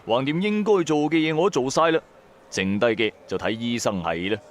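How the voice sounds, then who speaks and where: A man with a Hong Kong Cantonese accent telling a first-person story in an expressive, colloquial way, with chatty asides to the listener. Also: medium pitch, a little fast. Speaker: storyteller, narrator. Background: background music.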